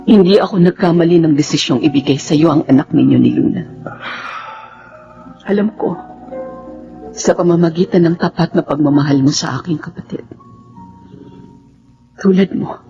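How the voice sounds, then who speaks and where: A man's voice: a little high, moderate pace, gravelly, long pauses, warm tone. A man playing a solemn, forgiving family member in a Tagalog radio drama. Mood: solemn, grateful. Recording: muffled lo-fi with faint music.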